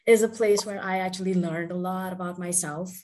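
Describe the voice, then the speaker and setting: A woman's voice: lowish voice, steady tone, a little fast, a little mellow. A woman in her thirties, from India, telling her story as a guest in a chatty, self-deprecating way with many fillers. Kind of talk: casual interview storytelling.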